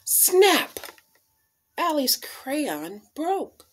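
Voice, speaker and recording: A woman in her thirties reading aloud to children as a storyteller, with deliberate, dramatic emphasis on key words. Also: high-pitched, sing-song, soft background music. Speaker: storyteller reading a picture book. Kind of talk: expressive read-aloud for children. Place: small living room, indoors.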